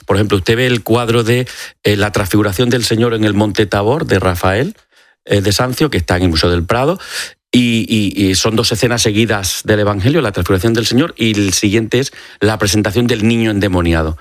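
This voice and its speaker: A man's voice: lowish voice, fairly quick, no room sound, clean studio sound. A man from Extremadura with an accent from Spain, a doctor explaining things conversationally and courteously as an interview guest. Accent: Spanish from Spain. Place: radio studio.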